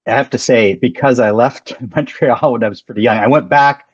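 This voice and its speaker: A man in his fifties whose first language is French, speaking as a guest in a casual interview in a measured way, with frequent ums and restarts. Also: mid-range voice, breathy edge, muffled recording. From Canada. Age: 50s. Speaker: guest, company founder.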